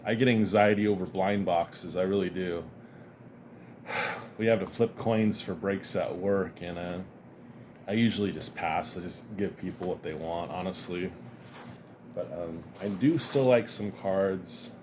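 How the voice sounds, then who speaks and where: Low voiced guy going through his comic haul, slow easy rambling talk, a bit sniffly, noisy background hum. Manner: relaxed, rambling, chuckles often. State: sniffly from dust. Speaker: comic collector vlogger. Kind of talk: casual unboxing chat.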